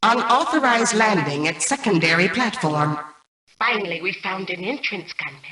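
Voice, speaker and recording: A woman playing a cold, imperious mainframe AI in a video game, menacing and calculating, with formal, commanding, measured robotic delivery. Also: mid-range voice, lively intonation, crowd noise, lightly acted. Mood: urgent, commanding. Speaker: game antagonist turned ally. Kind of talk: scripted video game voice acting. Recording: distant, echoey processed game audio.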